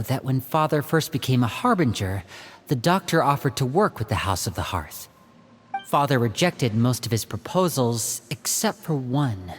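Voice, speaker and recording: A man playing a composed, loyal young operative in a video game, measured and earnest as he leads. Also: medium pitch, big-room sound, slight background noise. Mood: composed, matter-of-fact. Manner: measured, explanatory, firm. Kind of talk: video game character dialogue.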